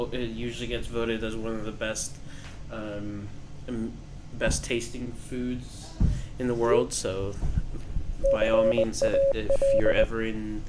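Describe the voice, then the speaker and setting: A young man from Peru chatting on a podcast, talking with many pauses and fillers. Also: medium pitch, noisy background. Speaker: podcast co-host. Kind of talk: casual chat.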